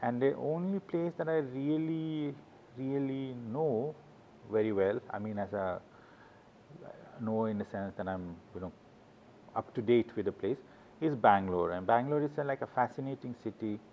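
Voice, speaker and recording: Halting author, dull recording. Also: male voice, medium pitch, slight background noise. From Sweden. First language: Swedish. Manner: rambling, anecdotal, halting restarts. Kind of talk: casual interview talk.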